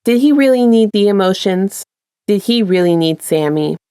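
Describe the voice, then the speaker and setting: A woman's voice: mid-range voice, animated, moderate pace, dark tone, smooth, no room sound, studio quality. A woman presenting and reading her own fan fiction as a podfic narrator, in an informal storytelling style. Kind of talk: casual intro and scripted story narration.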